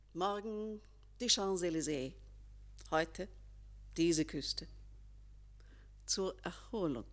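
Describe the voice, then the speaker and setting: Slow, creaky world-weary lady tourist. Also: lowish voice. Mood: weary musing. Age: 50s. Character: well-groomed ageing woman tourist, world-weary, vain. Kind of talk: radio play acting.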